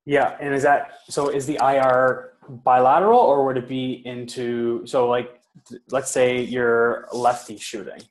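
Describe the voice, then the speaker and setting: A man's voice: medium pitch, flat delivery, measured pace, reverberant, poor audio. A man from Toronto with a Canadian accent, asking hesitant, filler-heavy questions about hockey training on a video call. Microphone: webcam on a video call.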